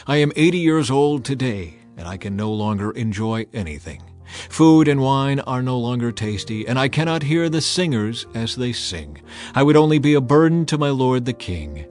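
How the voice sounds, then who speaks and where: A man's voice: mid-range voice, expressive, normal speed, darkish tone, faint music behind, lightly acted. A man voicing a weary, deferential man of eighty in a Bible drama. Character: weary, modest eighty-year-old wealthy man.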